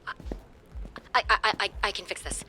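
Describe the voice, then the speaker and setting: A woman's voice: normal speed, pushed and bright. An actress playing a distraught, panicked woman in an audio drama, stammering and breathless.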